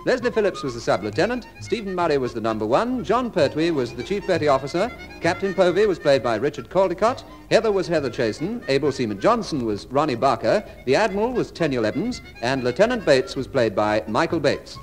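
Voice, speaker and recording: A man's voice: a little high, very animated, brisk. A male announcer reading formal closing credits for a vintage radio show. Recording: closing music under the voice.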